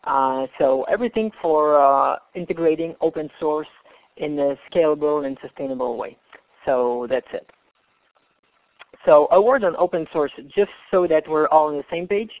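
A man's voice: fairly high pitch, no room sound. Man on a tinny phone line. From Canada. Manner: halting, hesitant delivery. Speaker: presenter. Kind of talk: webinar presentation.

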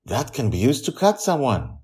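An earnest, excitable sidekick from a fantasy storybook, put on by a male narrator. The voice is raised and eager, with big lively swings in pitch. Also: moderate pace. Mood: concerned. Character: earnest, excitable, worried friend of a dream girl.

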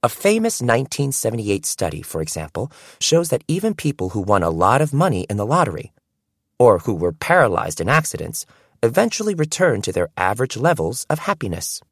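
A man reading a first-person audiobook in an expressive, storytelling way. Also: medium pitch, fairly quick, slightly rough, no echo, clean studio sound. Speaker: audiobook narrator.

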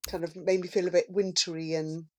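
Woman, slightly low pitch, casual conversation, podcast panellist. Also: little pitch movement, normal speed, a little mellow. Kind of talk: casual chat.

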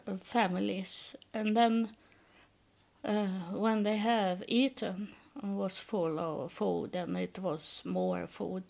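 A woman from Sweden with a Swedish accent, telling her own story in halting English with many pauses and restarts. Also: medium pitch, vocal fry, a little mellow. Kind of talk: personal testimony.

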